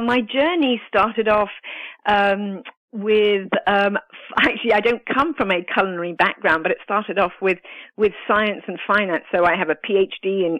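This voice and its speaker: A woman with a British accent and Italian traces, a nutritionist who is a guest on a radio show, speaking earnestly and with many pauses and restarts. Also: mid-range voice, a bit bright, dry recording, low-quality recording. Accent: British English with Italian traces. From Italy, grew up in the UK. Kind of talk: radio interview.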